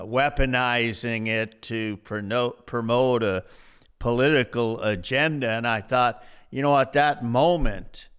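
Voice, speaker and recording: A man in his seventies hosting an unscripted interview podcast as a pastor, speaking in a deliberate, halting way with long pauses. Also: on the low side, a bit bright, smooth. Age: 70s. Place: at a dining room table in a house.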